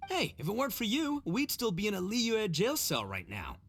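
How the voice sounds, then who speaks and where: Rowdy hearty brawler, bright sharp tone, wildly swinging voice, faint game music. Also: male voice, sits high, wildly swooping pitch, normal speed, a little hiss or hum, full character voice. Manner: boisterous, hearty. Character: loud, boastful young male brawler, cheerful.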